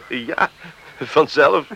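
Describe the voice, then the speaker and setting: A man's voice: fairly high pitch, very slow, gravelly, a bit bright, highs cut off, slight background noise, full character voice. A man playing an easygoing, evasive young farm lad in a Dutch radio play. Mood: casual.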